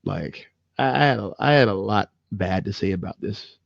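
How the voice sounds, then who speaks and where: Low, gravelly man chatting casually. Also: moderate pace, no room sound, lo-fi sound. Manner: frank, profanity-laced, informal slang. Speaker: podcast co-host. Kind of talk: casual movie discussion chat.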